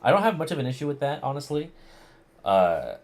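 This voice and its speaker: A man's voice: medium pitch, wildly swooping pitch, a little fast. A man giving casual live playthrough commentary, rambling and hesitant, with plenty of slang. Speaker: game streamer.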